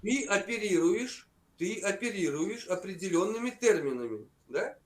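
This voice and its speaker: A man's voice: high-pitched, lively intonation, moderate pace. A man arguing on a video call, combative, interrupting and repeating himself. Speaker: chat roulette stranger. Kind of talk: heated argument.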